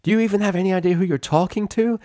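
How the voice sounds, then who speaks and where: A man's voice: high-pitched, rapid-fire, warm tone, no echo, clean studio sound, voice pushed up, lightly acted. A man voicing a brash, loyal young female pegasus pony in a story reading. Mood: defiant.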